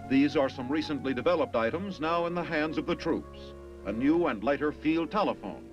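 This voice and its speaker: A man's voice: a little high, lively intonation. 1950s American newsreel-style male narrator, dull soundtrack. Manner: formal, emphatic, measured phrasing. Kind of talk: 1950s military documentary scripted narration. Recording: dull vintage film soundtrack with music.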